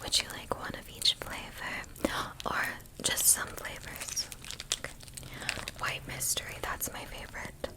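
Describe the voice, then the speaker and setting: Female ASMR shopkeeper roleplay: breathy full whisper, gentle, very unhurried delivery. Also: poor audio. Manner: gentle, unhurried, attentive to the listener. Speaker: ASMR performer playing a candy shop keeper.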